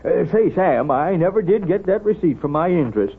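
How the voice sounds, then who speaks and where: An American actor playing a wise, kindly small-town doctor with a folksy, unhurried manner in a 1930s radio drama. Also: male voice, sits high, expressive, breathy edge, exaggerated voice. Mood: folksy, friendly. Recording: muffled, band-limited 1930s radio recording.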